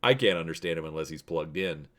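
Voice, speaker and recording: An earnest starfighter pilot from a space adventure storybook , voiced by a man reader. He is cautious and wary, and his voice sits low. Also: animated, quick pace. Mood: easygoing.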